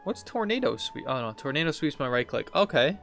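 A man's voice: on the high side, sing-song, brisk, vocal fry, a bit bright. A man in his thirties giving casual, slangy let's play commentary, reacting and thinking aloud as he plays. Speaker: gaming video host. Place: indoor room, seated at a computer. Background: game music.

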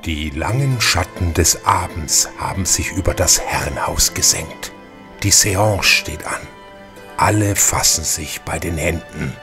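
Very deep-voiced male mystery narrator. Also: a little slow. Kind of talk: scripted radio mystery narration. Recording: music underneath.

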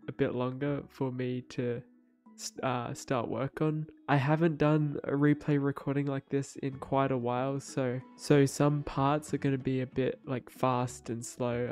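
A young man talks through his build in a relaxed, rambling way, stopping and restarting his sentences often. His voice sits at a middling pitch and has a slightly stuffy, nasal sound from a cold. Soft background music plays faintly beneath him. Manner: rambling, many fillers and restarts. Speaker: Minecraft builder narrating his speedbuild. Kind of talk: casual voice-over commentary.

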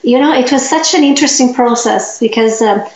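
A woman from Italy telling her life story as a guest in a casual interview, in a lively, upbeat way. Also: on the high side, brisk, echoey room, lo-fi sound.

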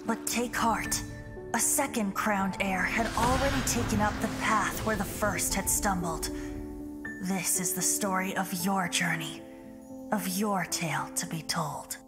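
A woman reading a solemn, measured storybook narration for a game. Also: medium pitch, loud music behind the voice.

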